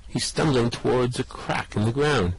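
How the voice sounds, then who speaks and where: A man's voice: medium pitch, normal speed, slightly rough, poor audio. A man voicing an anxious ordinary Earthman in an amateur radio play reading, nervously describing what he sees. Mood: worried.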